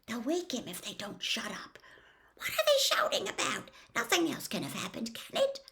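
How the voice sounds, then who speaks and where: A woman voicing an anxious, protective middle-aged mother in hushed, urgent fretting for a storybook. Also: high-pitched, wildly swooping pitch, normal speed, creaky, whispered, voice pushed up, heavily acted. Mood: worried, hushed.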